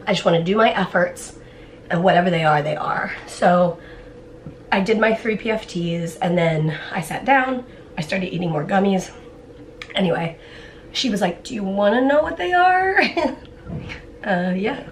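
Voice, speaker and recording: A woman's voice: on the low side, moderate pace. A woman in her thirties talking casually to a vlog audience, telling things in a chatty way with asides. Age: 30s. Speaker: vlogger, cystic fibrosis patient. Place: moving car and hospital clinic room. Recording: background noise, car and room ambience.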